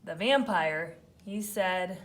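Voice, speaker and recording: Slow-paced woman reading a children's story. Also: lowish voice. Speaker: storybook narrator. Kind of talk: children's read-aloud narration.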